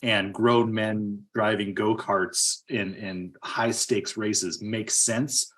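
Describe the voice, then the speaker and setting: A man's voice: fairly low pitch, steady tone, slow pace, a bit bright. A man co-hosting a podcast, discussing movies casually in an emphatic, argumentative way. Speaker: podcast co-host.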